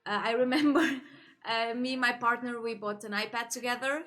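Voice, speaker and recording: A woman's voice: a little high, steady tone, moderate pace, a bit bright. A young woman from Portugal chatting casually to camera about her reading, with many fillers and frequent laughs. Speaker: book vlogger.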